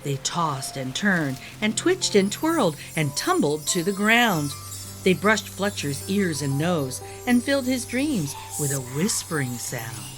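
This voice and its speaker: A woman narrating a children's picture book in an expressive, careful storytelling manner. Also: fairly low pitch, measured pace, over music. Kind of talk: expressive storybook read-aloud.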